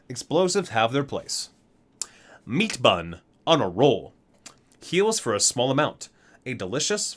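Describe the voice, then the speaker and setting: A man doing an ASMR video, reading item entries aloud item by item and adding enthusiastic casual asides. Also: medium pitch. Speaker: ASMR video creator, gamer.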